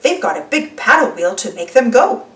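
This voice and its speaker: A woman plays an unsure grown-up daddy pig from a storybook. The delivery is very animated, with a roomy echo and music behind it. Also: on the high side, normal speed, recorded from a distance. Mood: doubtful. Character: hesitant, puffing father pig, adult male. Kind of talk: children's storybook character voice.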